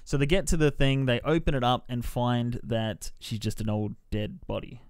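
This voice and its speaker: A man with a clear, mid-pitched voice hosts a casual podcast review. He swears freely and slips into mimicked dialogue while retelling things. The sound is dry, with no room around him. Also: fairly even intonation, normal speed, dry recording. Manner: sweary, mimics characters in quotes. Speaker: podcast host reviewing films. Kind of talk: casual spoiler-filled movie review chat.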